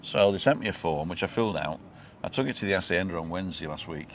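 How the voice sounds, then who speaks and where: A man's voice: low-pitched, fast, noisy background. A man in his sixties hosting a vlog, chatting casually and teasing with dry jokes. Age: 60s. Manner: joking, teasing storyteller. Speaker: vlog host. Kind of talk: casual chat.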